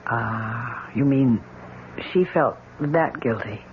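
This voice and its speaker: A woman's voice: extremely low pitch, slow. An actress playing a kindly, emotional middle-aged lady in an old-time radio drama, speaking in a genteel, hesitant, effusive way. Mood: anxious, hesitant. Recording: muffled, hissy old radio recording.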